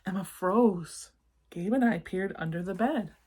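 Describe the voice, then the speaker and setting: A woman's voice: medium pitch, unhurried, a little mellow, slight background noise. A woman reading a children's story aloud expressively, adding chatty asides for young listeners.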